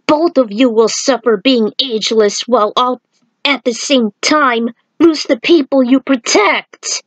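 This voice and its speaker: A woman's voice: a little high, measured pace, no echo, pitched above the performer's own voice, rough recording. Woman playing a distraught male monk, storybook drama, urgent, emphatic, grim warnings, dry sound. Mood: grim, insistent. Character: distraught ranting warrior monk warning of a curse.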